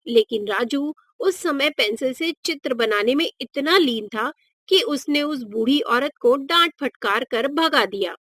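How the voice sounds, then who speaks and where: A woman's voice: high voice, animated, unhurried, fairly bright tone, dry recording, clean studio sound. A woman narrating a scripted moral story for children as a storyteller.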